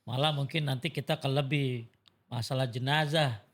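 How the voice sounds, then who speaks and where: A middle-aged man with a medium-pitched voice chats as a religious teacher on a panel. His delivery is colloquial and comes in short, halting pieces. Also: fairly even intonation, a bit bright. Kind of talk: casual chat.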